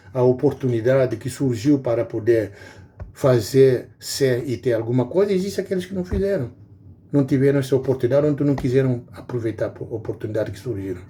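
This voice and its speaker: A man's voice: mid-range voice, mellow, a little hiss or hum. Earnest man with a Guinea-Bissau accent. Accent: Guinea-Bissau Portuguese. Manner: earnest, repetitive pleading with many hesitations. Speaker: video blogger. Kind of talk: informal monologue to viewers.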